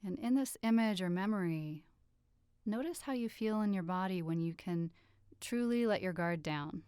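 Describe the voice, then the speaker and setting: A woman leading a guided relaxation meditation with soothing, gentle instructions and unhurried pauses. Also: mid-range voice, expressive, smooth, no echo, studio quality. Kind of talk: guided meditation, yoga nidra.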